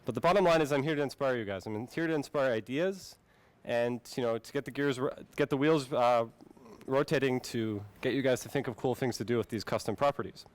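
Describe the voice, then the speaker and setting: A young man born in Finland giving a friendly, relaxed presentation to a meetup audience. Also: mid-range voice, a little fast. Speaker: conference speaker. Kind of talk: tech talk presentation.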